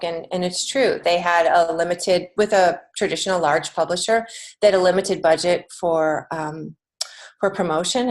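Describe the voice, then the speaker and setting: An American woman giving casual, encouraging answers as an author being interviewed on a podcast. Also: on the low side. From United States. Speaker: podcast guest, children's book author and creative writing teacher.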